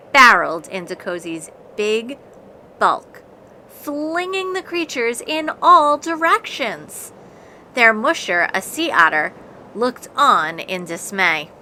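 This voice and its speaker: A woman reads a picture book aloud with dramatic, deliberate phrasing. Her voice is bright and forward, and she takes her time over the words. There is some background noise on the recording. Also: fairly high pitch, big pitch swings. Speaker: storybook reader. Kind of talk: expressive read-aloud of a children's picture book.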